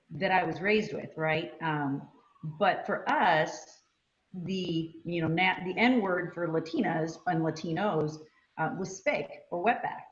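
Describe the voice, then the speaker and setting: A woman whose first language is Spanish, sharing memories reflectively in a casual panel discussion, with many ums and you-knows. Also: fairly low pitch. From United States. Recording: echoey, dull lo-fi sound.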